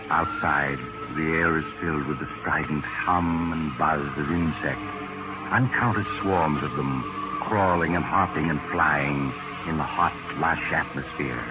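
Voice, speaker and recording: An actor playing a sinister, inviting mystery storyteller, narrating slowly and ominously in 1940s radio style. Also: male voice, extremely low pitch, narrow, tinny audio. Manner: slow, ominous, theatrical. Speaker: host and narrator. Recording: scratchy 1940s radio recording, music behind.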